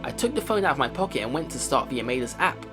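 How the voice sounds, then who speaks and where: A man's voice: mid-range voice, very animated, racing. A man hosting a let's play, reading game narration aloud and reacting casually. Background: game music.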